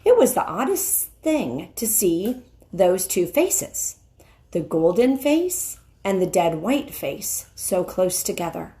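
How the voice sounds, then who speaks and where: A middle-aged woman narrates a children's book like a teacher reading to a class. Her delivery is deliberate and dramatic, with slow pacing and lively swings in pitch. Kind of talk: expressive children's story read-aloud. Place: small wood-ceilinged room indoors. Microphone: handheld phone at arm's length.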